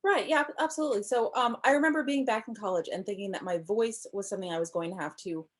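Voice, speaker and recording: A woman's voice: mid-range voice, fast talker. A woman in her thirties, a novelist guest, explaining her ideas on writing with enthusiasm and frequent ums over a video call from a room at home. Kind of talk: casual interview chat.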